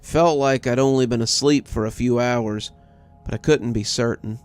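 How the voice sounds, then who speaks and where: A man's voice: mid-range voice, moderate pace, a little mellow, clear, resonant tone. A man reading a scripted first-person horror story as its narrator. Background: music.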